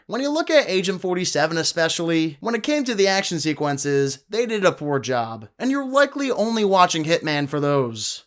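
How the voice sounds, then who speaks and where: Man, slightly high pitch, crisp clean tone, scripted review commentary, exasperated sardonic asides. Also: fairly bright tone. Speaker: film review video host.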